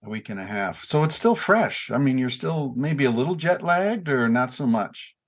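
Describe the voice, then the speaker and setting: A man of sixty hosts a relaxed podcast conversation. His pitch sits at an ordinary middle level, and he rambles with plenty of fillers, false starts and repeated words as he warms up to his guest. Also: moderate pace. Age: 60s. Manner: rambling, many fillers and restarts. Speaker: podcast host. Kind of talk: casual podcast chat.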